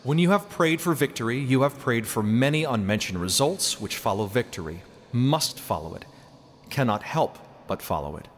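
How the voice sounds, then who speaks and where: A man voicing a solemn, fervent messenger of God in a storybook reading, preaching in measured archaic phrases. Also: medium pitch, expressive, a little hiss or hum, lightly acted. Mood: commanding. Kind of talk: dramatic storybook narration.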